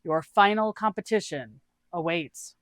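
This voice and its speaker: Solemn elder priest, acted by a narrator, formal, projected, measured, medium pitch, fantasy storybook. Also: fairly bright tone, no room sound, studio quality, natural voice. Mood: solemn, commanding. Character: solemn elder priest presiding over contest.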